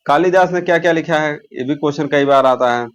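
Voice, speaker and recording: A man's voice: on the high side, a little fast, clear, resonant tone. A man teaching an exam lesson, posing questions, answering them himself and repeating key terms.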